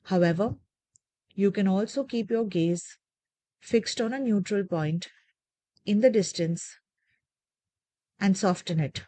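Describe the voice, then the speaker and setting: A woman leading a guided meditation with gentle, measured, soothing instructions and deliberate pauses. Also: mid-range voice, no room sound.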